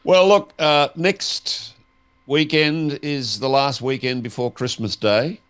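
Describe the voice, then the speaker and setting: A man's voice: medium pitch, steady tone, takes it slowly, a bit bright, clear, resonant tone. An Australian man co-hosting a talk show in a casual, conversational style.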